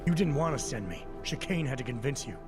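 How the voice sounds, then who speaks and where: A man playing a stoic, guarded agent in a video game, terse and deadpan. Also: mid-range voice, quick pace, close to an everyday voice. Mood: terse, stubborn. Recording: loud music behind the voice.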